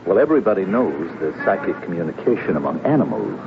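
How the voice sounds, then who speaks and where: An earnest, uneasy secret agent in a radio play, measured and hesitant. His low voice moves through wide swings of pitch. The recording is muffled and full of noise. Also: very animated. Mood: earnest, searching. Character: frightened Russian secret agent, earnest grown man. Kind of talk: radio drama acting. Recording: noisy, muffled old radio broadcast.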